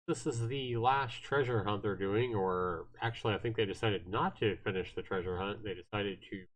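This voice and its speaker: A man hosting a casual livestream of a visual novel, talking to viewers in a rambling, halting way and reading game text aloud. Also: lowish voice, low-quality recording.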